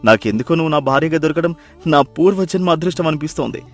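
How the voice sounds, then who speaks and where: A man's voice: fairly high pitch, lively intonation, fast, soft background music, natural voice. Man acting an emotional, humble fisherman husband. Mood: tender, awed. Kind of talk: acted moral-story dialogue.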